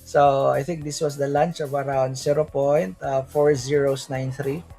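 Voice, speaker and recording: A man's voice: medium pitch, fairly even intonation, unhurried, mellow, soft background music. A Filipino man hosting a casual livestream, rambling with many fillers and tag questions. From Philippines. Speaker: livestream host. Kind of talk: casual livestream crypto chat.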